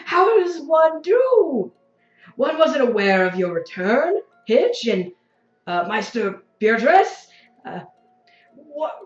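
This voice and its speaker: A woman's voice: high voice, echoey room, muffled recording. A woman playing a grandiose, effusively polite but unrepentant revolutionary zealot in an audio drama, speaking in formal, stilted phrases. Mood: effusive delight. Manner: formal, stilted, refers to self as "one".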